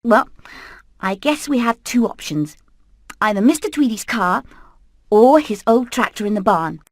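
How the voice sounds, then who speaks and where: A British woman playing a determined, plucky hen in an animated video game, speaking in a brisk, earnest, rallying way. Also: fairly high pitch, no echo, studio quality. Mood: brisk, planning. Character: determined, plucky female hen leading an escape plan.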